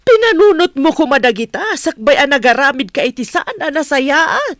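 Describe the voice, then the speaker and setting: A woman's voice: sits high, very animated, normal speed, a bit bright, no room sound. A protective mother in a Filipino radio drama. She is stern and reproachful, with an Ilocano accent, widely swinging intonation and a very dry studio sound. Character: stern, protective middle-aged mother confronting a wrongdoer.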